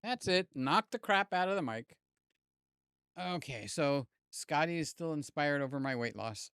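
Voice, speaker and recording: An older man hosting a live stream, chatting loosely with viewers, his voice slightly hoarse. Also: a little high, expressive, measured pace, a bit bright, dry recording, clean studio sound. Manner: rambling, jokey, talks to chat by name. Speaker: livestream host. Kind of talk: casual live chat with viewers. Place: home room with fans and air conditioner. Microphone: desk mic near the mouth.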